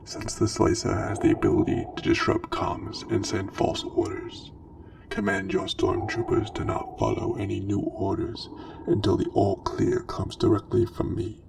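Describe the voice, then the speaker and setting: Over noticeable background noise and at some distance comes a menacing dark lord, acted out by a man, very low and gravelly. He issues military orders in a measured, breathy, commanding way, in the hushed style of an ASMR roleplay. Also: level tone. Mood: stern, measured. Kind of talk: soft ASMR roleplay, scripted military briefing.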